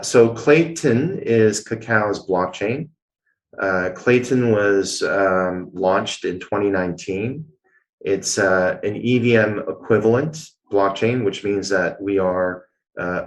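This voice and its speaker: A man's voice: sits low, rough recording. A man from Canada, a guest answering interview questions in an explanatory way, with many filler sounds. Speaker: guest, blockchain executive.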